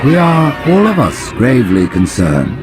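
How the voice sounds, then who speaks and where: A man's voice: mid-range voice, huge pitch swings, unhurried, over loud music, a loud crowd. An actor playing an elderly alien prophet giving a grand, reassuring sermon to his followers. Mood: grandiose. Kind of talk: sermon to the masses. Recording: game audio with loud effects noise.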